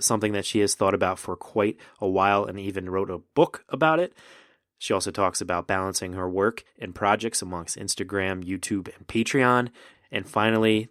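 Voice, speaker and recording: A man hosting a podcast, talking in a relaxed, casual way with frequent laughs and filler words. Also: on the low side, no echo, studio quality. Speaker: podcast host. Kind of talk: casual interview chat. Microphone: remote call recording.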